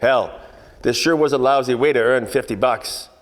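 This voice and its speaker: A man's voice: medium pitch, moderate pace, natural voice. Man playing a wry 1940s private eye, reverberant. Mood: weary, sardonic. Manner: wry, hard-boiled delivery. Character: wisecracking, world-weary 1940s male private eye. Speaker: actor narrating the lead part. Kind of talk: 1940s radio detective narration, parody. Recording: long hall reverb, music underneath.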